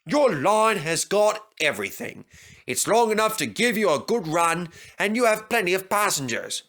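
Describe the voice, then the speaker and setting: Courteous, earnest storybook steam engine, acted by a man, cheerful, higher-pitched, lively. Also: normal speed, heavily acted. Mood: cheerful, admiring. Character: polite, cheerful visiting male steam engine.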